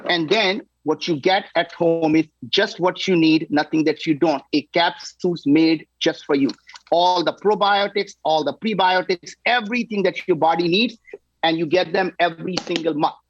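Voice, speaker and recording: A man in his sixties, a company founder guest on a talk show, pitching his product with energy and emphasis, often tagging "right?". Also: normal speed, lo-fi sound, slight background noise. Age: 60s.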